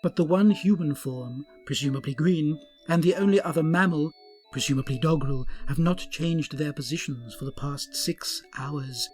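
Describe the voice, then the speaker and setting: A man's voice: on the high side, normal speed, a little mellow, soft background music, lightly acted. A man voicing a pompous, grandiose male starship captain in a comic audio drama.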